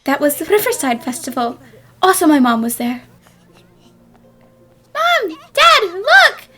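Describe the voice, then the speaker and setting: Little girl, very high, lively swoops, eager, cartoon dub. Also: takes it slowly, background noise, heavily acted. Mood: excited. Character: excited little girl at a festival with her parents. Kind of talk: anime-style fan dub acting. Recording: music clearly under the voice.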